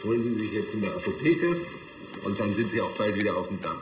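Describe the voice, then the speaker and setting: An actor playing a calm, businesslike doctor, reassuring and matter-of-fact. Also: male voice, fairly low pitch, fast, lightly acted. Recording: echoey room, clear noise, clicks.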